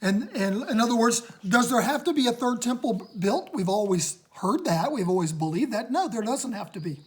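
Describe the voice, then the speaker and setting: A woman with a raspy voice teaching a casual Bible study at home, talking with excitement and emphasis into a phone. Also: on the high side, brisk.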